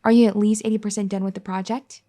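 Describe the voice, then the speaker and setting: A woman voicing a firm but caring young wife who scolds and then gently pleads in an audio roleplay. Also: mid-range voice, flat delivery, fast talker, close to an everyday voice. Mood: scolding, sassy. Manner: bossy teasing scolding that turns gentle and pleading. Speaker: ASMR roleplay performer. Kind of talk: audio roleplay addressed to the listener.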